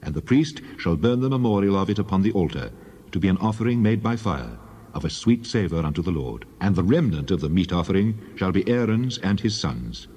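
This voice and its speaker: A man playing God as a solemn, commanding lawgiver in a dramatized scripture reading, speaking in measured declarations. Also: sits low, sing-song, dark tone, slightly rough, exaggerated voice. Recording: long cathedral-like reverb.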